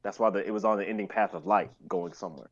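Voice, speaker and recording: A man's voice: on the low side, quick pace, lo-fi sound. A man chatting casually on a group live stream, explaining things in a rambling, explanatory way. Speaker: member of a writing and performing group.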